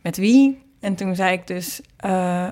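A woman's voice: lowish voice, slow pace, warm tone. Casual woman telling stories. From Netherlands. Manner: informal, anecdotal, re-enacts dialogue. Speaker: singer and producer, podcast guest. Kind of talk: casual interview storytelling.

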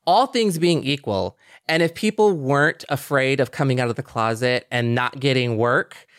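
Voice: medium pitch, normal speed, clear, resonant tone, dry recording, clean studio sound. A person in a casual podcast chat, speaking earnestly and feeling their way through sentences with frequent "like".

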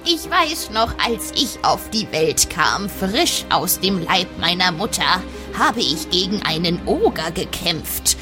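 Woman as dwarf auntie, fantasy roleplay, fond and boastful, deliberate slow delivery, lively intonation. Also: lowish voice, over music. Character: fierce boastful old dwarf aunt telling violent childhood tales fondly. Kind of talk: improvised fantasy audio roleplay.

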